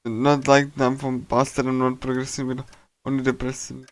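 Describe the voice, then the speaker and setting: Clear-voiced man reading game text aloud. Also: medium pitch, completely flat delivery, moderate pace. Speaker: streamer playing a visual novel.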